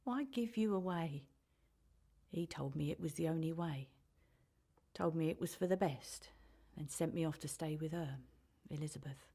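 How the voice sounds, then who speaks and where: A woman acting in a radio drama as a brooding, guilt-ridden older mother confessing bitterly, with a husky, gravelly voice. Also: low-pitched, moderate pace, mellow. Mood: regretful, lonely. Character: older woman, guilt-ridden mother who gave her child away.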